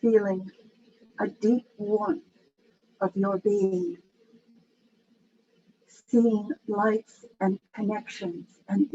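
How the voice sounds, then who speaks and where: A woman leading a guided meditation in a soothing, unhurried manner with long pauses. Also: mid-range voice, dark tone. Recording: dull, lo-fi with faint background noise.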